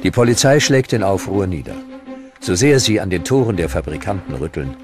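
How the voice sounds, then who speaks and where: A man narrating a scripted documentary with dramatic, deliberate storytelling. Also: sits low, animated, music in the background.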